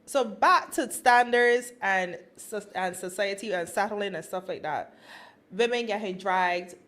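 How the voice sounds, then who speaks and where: A woman from Barbados with a Barbadian accent, co-hosting a podcast and telling a story with lively laughter. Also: mid-range voice, a little slow. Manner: animated storytelling, laughs often. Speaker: podcast co-host. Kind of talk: casual chat.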